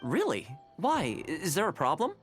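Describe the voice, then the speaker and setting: A smooth, easygoing young man of a video game fixer, speaks with lively, swinging intonation. He is courteous and persuasive, with music playing behind him. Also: high voice, normal speed. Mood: coaxing. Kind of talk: video game character dialogue.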